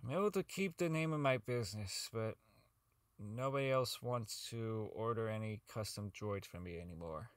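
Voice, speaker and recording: A man's voice: on the low side, moderate pace, no room sound, natural voice. A man playing a socially inept, bitter company owner in an audio drama, rambling and stammering through his log as he slides toward madness. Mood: bitter, weary. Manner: stammering, rambling, self-loathing, foul-mouthed.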